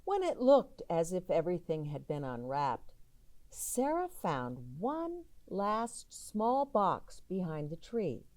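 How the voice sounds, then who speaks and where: A woman with a low voice reads a children's storybook aloud at a very slow, deliberate pace. Her delivery is expressive and lively in pitch, with dramatic pauses that set single words apart. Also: dry recording.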